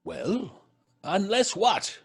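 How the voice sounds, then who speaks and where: An actor playing a dry, scornful, impatient gentleman skeptic at a séance in an old-fashioned radio horror drama. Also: high voice, lively intonation, drawn-out words, husky, full character voice. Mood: dry, sarcastic.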